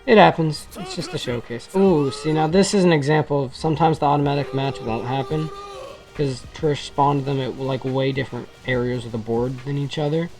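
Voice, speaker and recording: Gaming creator, mid-pitched voice, informal and rambling showcase commentary, music underneath. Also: moderate pace, low-quality recording. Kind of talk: casual gameplay commentary.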